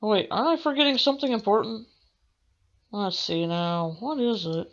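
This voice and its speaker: A woman's voice: medium pitch, normal speed, lo-fi sound. A young woman let's player reading visual novel text aloud, expressively and with the odd stumble. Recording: game music behind the voice. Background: video game music.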